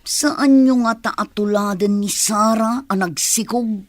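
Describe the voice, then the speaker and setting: A woman's voice: medium pitch, takes it slowly, a little mellow, no echo, close to an everyday voice. A woman playing a fretful, disapproving village mother in an Ilocano radio drama. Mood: worried, disapproving.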